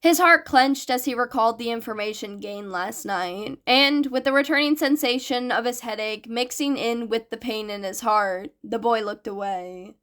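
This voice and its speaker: A woman's voice: on the high side, moderate pace, few pauses, a bit bright. A woman reading a scripted fan fiction story aloud as its narrator. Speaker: podfic narrator.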